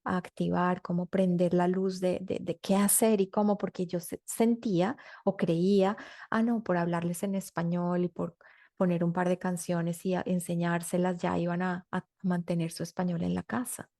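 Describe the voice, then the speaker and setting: A woman's voice: on the low side, level tone, moderate pace, vocal fry, mellow, no room sound, studio quality. A Colombian woman guest in her forties, telling her story thoughtfully and searching for her words. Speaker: interview guest, mother. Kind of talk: conversational interview.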